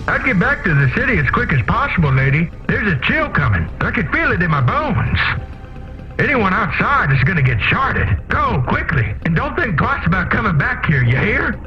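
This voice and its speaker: An actor playing a gruff, folksy wasteland outcast in a video game, blunt and rough in grammar, on slightly dull audio. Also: male voice, high-pitched, very animated, normal speed, soft background music. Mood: folksy, earnest. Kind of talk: character acting in a video game.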